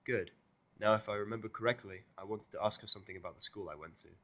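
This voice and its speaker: A man's voice: lowish voice, fast talker, pushed and bright. A stubborn, irritable human guy played by a man with a dry, sarcastic streak. He swears freely and sounds like an everyday man in a fantasy audio story. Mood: stubborn, flat.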